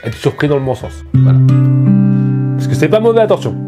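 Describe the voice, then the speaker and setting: A man's voice: on the high side, sing-song, fairly quick. A man with a head cold giving a casual unboxing review, chatty and self-mocking. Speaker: tech reviewer. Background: faint music from the speaker being tested.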